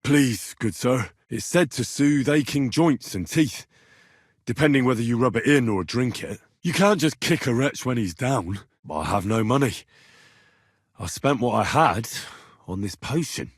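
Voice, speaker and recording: A man's voice: medium pitch, expressive, measured pace, slightly rough, dry recording, studio quality. A man voicing a stubborn, cheeky young medieval man in a video game, with blunt, earthy, conversational delivery. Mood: pleading. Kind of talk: video game character dialogue.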